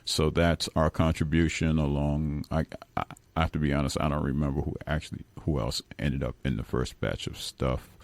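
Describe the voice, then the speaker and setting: Very deep-voiced man in a dry studio. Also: fairly even intonation, normal speed, hardly any pauses, no room sound. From Brooklyn, New York. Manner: rambling, self-correcting, chuckles now and then. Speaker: guest musician. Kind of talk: relaxed radio interview talk. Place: radio studio.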